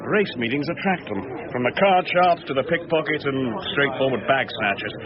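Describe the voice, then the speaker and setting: A man's voice: medium pitch, animated, normal speed, lightly acted. A man playing a bluff, worldly police superintendent in a radio drama, hearty and expansive. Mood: worldly, scornful. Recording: dull radio drama, crowd behind.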